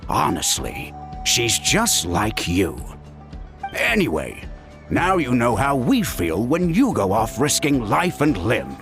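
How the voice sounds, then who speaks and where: Fussy caring uncle, middle-aged, voice jumps all over, soft music. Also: male voice, mid-range voice, slow, vocal fry, exaggerated voice. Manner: warm-hearted scolding, expressive. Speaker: game character. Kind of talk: video game voice acting. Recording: game audio with soft music.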